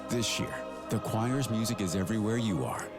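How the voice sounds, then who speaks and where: Male ad announcer over loud music. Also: lowish voice, normal speed. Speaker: advert announcer. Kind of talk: scripted radio ad narration.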